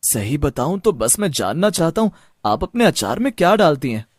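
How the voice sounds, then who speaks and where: A man plays a curious young villager caught in a horror cartoon. The voice is high and very animated, inquisitive with an uneasy edge, recorded dry. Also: normal speed, no room sound. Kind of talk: Hindi horror cartoon voice acting.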